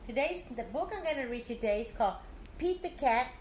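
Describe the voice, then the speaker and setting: A middle-aged woman, a teacher reading a picture book aloud to young children in an animated, simple way. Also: high-pitched, brisk, a little hiss or hum. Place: living room at home, indoors.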